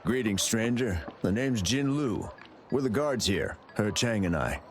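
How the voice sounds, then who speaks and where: A man playing an earnest, polite guard in a video game, somewhat halting and heartfelt. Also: fairly low pitch, big pitch swings, background noise. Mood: friendly. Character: earnest, polite male guard burdened by guilt.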